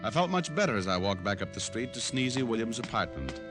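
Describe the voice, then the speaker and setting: An American actor playing a wry, tough private detective, narrating and wisecracking in a vintage radio noir drama. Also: male voice, fairly low pitch, big pitch swings, quick pace. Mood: wry, determined. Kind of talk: 1940s-50s radio noir acting, first-person narration. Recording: vintage radio recording with music behind.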